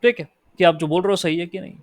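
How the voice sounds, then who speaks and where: A man with an Indian accent teaching a statistics lesson. He explains in Hindi and reads definitions out slowly in English. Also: high-pitched, no room sound. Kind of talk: lecture.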